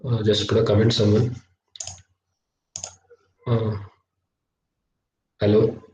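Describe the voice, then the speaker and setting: A young man teaching a live online coding lesson, hesitant with many filler sounds, his voice slightly low from a cough. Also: little pitch movement, slow pace, darkish tone, highs cut off. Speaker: workshop instructor. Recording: echoey, distant laptop mic.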